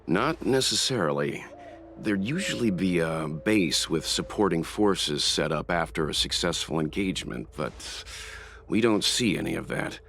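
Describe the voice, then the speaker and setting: Low-voiced, stoic young warrior. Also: male voice, lively intonation, vocal fry, a bit bright, heavily acted. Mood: analytical. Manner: measured, serious. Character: stoic, reserved young warrior man, analytical. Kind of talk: anime game voice acting. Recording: faint ambient noise behind.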